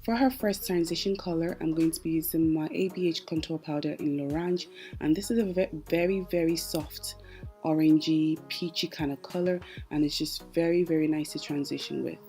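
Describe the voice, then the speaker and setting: A woman's voice: low-pitched, fairly even intonation, normal speed, dark tone, faint music behind. A young woman narrating a makeup tutorial, chatty and explaining each step as she works. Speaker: makeup artist.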